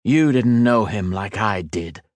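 A man's voice: on the low side, animated, a little slow, no room sound. A man voicing an angry young swordsman hero, speaking in a tight, clipped, defiant way. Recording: dry close studio read.

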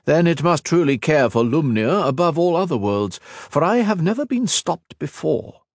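A man's voice: a little high, big pitch swings, normal speed, no echo, clean studio sound, voice pushed up. A man voicing an ancient, arrogant cosmic being who talks down to mortals in a lofty, contemptuous way. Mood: disdainful. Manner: haughty, lofty, lecturing.

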